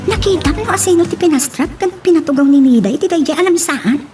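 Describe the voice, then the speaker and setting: A woman's voice: sits high, expressive, fast talker, mellow, faint music behind. A woman with an Ilocano accent playing a curious, wary friend in an audio romance drama. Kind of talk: Filipino radio-style romance drama acting.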